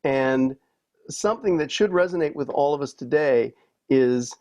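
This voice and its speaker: Mellow-voiced man giving thoughtful commentary. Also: mid-range voice. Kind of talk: reflective interview commentary.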